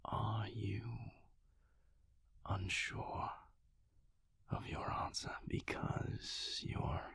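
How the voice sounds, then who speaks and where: A man playing a calm, tender but guarded vampire lover in an intimate audio roleplay, speaking deliberately in a breathy, husky whisper with pauses mid-sentence. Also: fairly low pitch, dry recording, heavily acted. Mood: gentle, questioning. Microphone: close, dry microphone.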